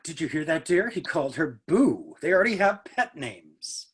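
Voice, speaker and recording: A man's voice: on the high side, very animated, moderate pace, breathy edge. A man acting a genial, chatty middle-aged businessman father in a play reading. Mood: jovial.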